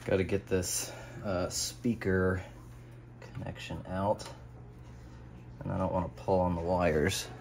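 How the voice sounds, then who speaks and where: An American man narrating his hobby restoration work casually and unscripted, musing out loud as he goes. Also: sits low, little pitch movement, slow pace, creaky, pauses often. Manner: musing, thinking aloud. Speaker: hobbyist radio restorer presenting a video. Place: small indoor home workshop. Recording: faint room noise.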